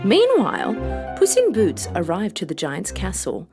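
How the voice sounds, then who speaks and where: A woman narrating a children's fairy tale from a script in a dramatic, expressive storytelling manner. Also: mid-range voice, a little slow. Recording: music bed behind voice.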